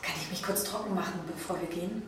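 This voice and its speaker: A woman's voice: fairly low pitch, fairly even intonation, fast, lightly acted. An actress playing a casual, direct young woman on a date in a naturalistic radio drama. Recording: very echoey, distant, noisy ambience.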